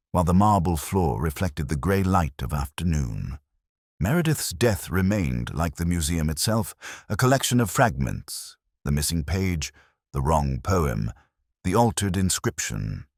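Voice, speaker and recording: A man reading a scripted first-person literary mystery in a solemn, measured, reflective manner. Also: extremely low pitch, slightly rough, dry recording, studio quality. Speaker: narrator.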